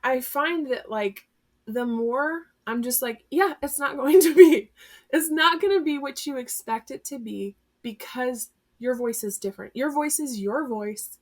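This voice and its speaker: A woman's voice: sits high, measured pace. A woman who teaches music, hosting a podcast in a friendly, conversational way. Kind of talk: podcast interview.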